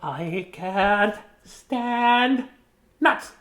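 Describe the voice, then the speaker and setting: A woman's voice: medium pitch, expressive, extremely slow, pitched above the performer's own voice. A woman voicing a dramatic squirrel who is fed up with nuts, in a singsong, wailing way. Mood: exasperated.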